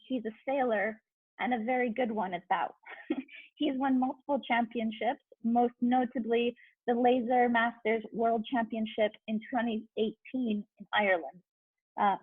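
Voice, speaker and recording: A woman hosts an online talk series and introduces her guest in a friendly, scripted way. Her voice sits a little high and sounds husky. The video call audio comes through thin and phone-like. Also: dry recording. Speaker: host of a club talk series.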